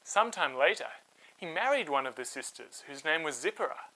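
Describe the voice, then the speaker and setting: A man tells a children's story as host, with a bright, forceful voice. His pitch moves freely and expressively, giving the scripted narration a dramatic, animated feel. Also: normal speed, rough recording.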